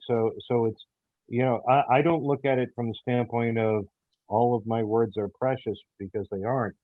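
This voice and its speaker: Through a dry, phone-like connection comes a man with a fairly low voice. He answers interview questions casually. His phrasing is halting and thoughtful, as a writer explaining his work. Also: no room sound. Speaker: show writer being interviewed. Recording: muffled narrow phone-like line.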